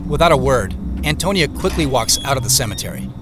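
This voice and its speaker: Male narrator, mid-pitched and expressive, for scripted audio drama storytelling and credits, music underneath. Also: fast.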